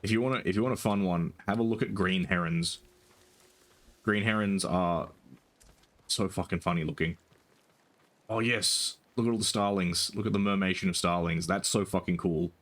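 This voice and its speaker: A young man with a soft, soothing voice, chatting casually while streaming a game, rambling with lots of ums and casual swearing. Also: sits low, steady tone, normal speed. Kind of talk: casual gaming stream chat.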